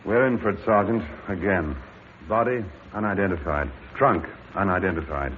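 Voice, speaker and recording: An actor playing a composed, methodical police inspector in a vintage radio drama, clipped and dry. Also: male voice, deep voice, normal speed, lightly acted. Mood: brisk, methodical. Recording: narrow-band old radio recording, faint noise.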